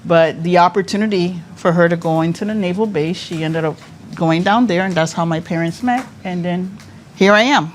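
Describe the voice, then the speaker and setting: A woman's voice: fairly low pitch, moderate pace, a little hiss or hum. A woman in her fifties, born in Cuba, a pageant director telling her life story as an interview guest, laughing often and restarting her sentences. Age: 50s. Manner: lively, laughs often, talks with many restarts.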